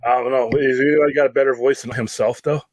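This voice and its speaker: Live-stream host, mid-pitched and chatty, rambling delivery. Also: male voice, steady tone, quick pace. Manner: rambling, filler-heavy, friendly. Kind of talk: casual live-stream chat.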